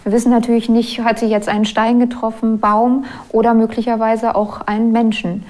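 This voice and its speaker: Mellow, fairly high-voiced woman explaining. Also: flat delivery, brisk. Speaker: archaeologist. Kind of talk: explanatory documentary interview.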